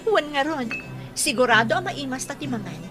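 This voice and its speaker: A woman's voice: high voice, animated, normal speed, over loud music. A woman playing a kind, welcoming young nurse in an audio drama, speaking politely. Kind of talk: Ilocano audio drama acting.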